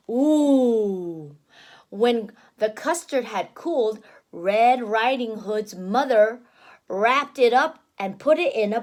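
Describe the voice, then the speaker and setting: A woman with a high voice reads a children's story and explains it as she goes. Her delivery is slow and very animated, full of gasps and simple questions, like a teacher guiding a small child. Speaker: teacher reading a picture book aloud to a child.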